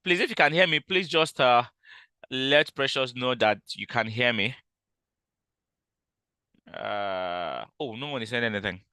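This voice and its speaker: A loud man teaches a live trading session over a call, repeating himself and stumbling through fillers as he explains. His voice is bright and crisp, and the sound is dry and close. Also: mid-range voice, unhurried, pauses often, dry recording. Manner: explanatory, repeats points, frequent fillers and restarts. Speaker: trading instructor. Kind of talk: live online trading class.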